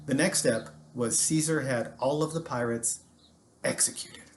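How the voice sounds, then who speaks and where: An American man, a teacher, tells a history story to students in an animated, casual way with jokey asides. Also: medium pitch, unhurried, heavy background noise.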